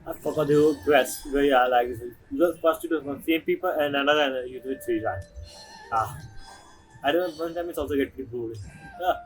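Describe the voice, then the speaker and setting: A woman's voice: extremely low pitch, fast, faint music behind, low-quality recording. A woman streamer giving casual, rambling gameplay commentary in loose, non-fluent English. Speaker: game streamer. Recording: faint noise, game audio behind.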